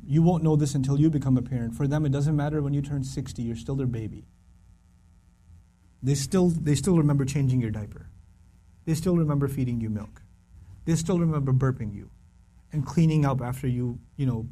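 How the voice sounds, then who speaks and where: A man's voice: mid-range voice, warm tone. A man giving an earnest, conversational religious talk to young people, often repeating phrases for emphasis. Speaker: Islamic speaker.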